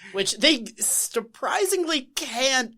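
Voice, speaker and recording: A woman's voice: high-pitched, very animated, slow pace, bright, forceful tone. Sarcastic woman co-host. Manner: sarcastic, mocking retellings. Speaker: podcast co-host. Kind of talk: casual podcast chat.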